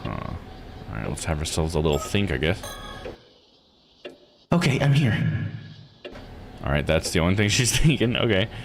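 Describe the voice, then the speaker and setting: A man's voice: low-pitched, very animated, normal speed, gravelly, long pauses, a little hiss or hum. A man live-streaming a visual novel, reading text aloud and musing casually as he plays. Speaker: game streamer.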